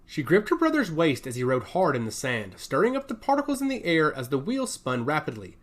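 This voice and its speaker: A man's voice: a little high, wildly swooping pitch, brisk. A man reading scripted science fiction action narration in an emphatic, theatrical way.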